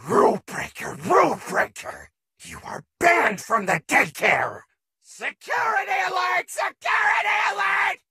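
A man's voice: very high voice, sing-song, a little slow, creaky, sharp tone, shouting, full character voice. A man voicing a manic, childlike, overexcited animatronic jester daycare attendant in a video game, frantic and exclaiming in a theatrical way. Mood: panicked outrage. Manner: frantic, stammering, repeating words, exclaiming.

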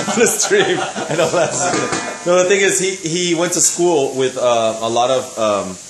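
A man hosting a casual vlog in a home kitchen, excitable and stumbling over restarts, filmed on a handheld camera. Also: a little high, slow, music in the background, rough recording. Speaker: vlog host.

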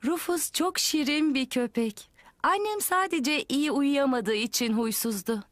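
A woman voicing a calm, kindly mother with a soothing manner in a children's cartoon dub. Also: high-pitched, unhurried, no room sound. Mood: gentle, reassuring. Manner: soothing, reassuring.

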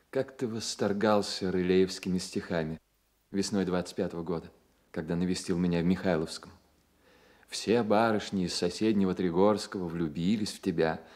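Ardent romantic poet, low-voiced, expressive, literary, radio drama. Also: male voice. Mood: fondly reminiscing. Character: ardent young male romantic poet, fond friend. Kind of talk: Soviet radio play acting.

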